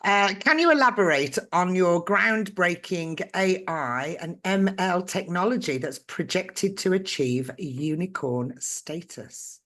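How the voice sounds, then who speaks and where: Chatty British woman host, going hoarse. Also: lowish voice, animated, slow pace, few pauses, fairly bright tone. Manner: encouraging, chatty, asks leading questions. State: losing her voice. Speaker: podcast host. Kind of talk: casual interview chat.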